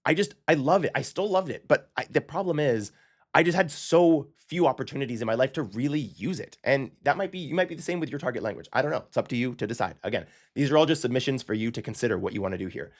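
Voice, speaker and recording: A man talks through a language-learning lesson in a relaxed, conversational way, often pausing to ask "okay?" and correcting himself mid-sentence. His pitch sits in a middle range, and he moves along quickly. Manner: chatty, frequent "okay?" check-ins, self-correcting. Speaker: language-learning channel host. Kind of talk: casual explanatory lesson to camera.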